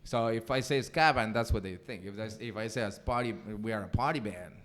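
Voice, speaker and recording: Man, Chilean Spanish accent, lowish voice, casual joking podcast guest. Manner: animated, joking, quick bursts. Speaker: band leader and singer, podcast guest.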